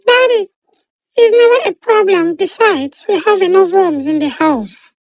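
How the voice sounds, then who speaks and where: A woman's voice: very high voice, a little slow, dry recording, poor audio. A woman voicing an innocent, earnest young daughter in a told folktale. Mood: reassuring.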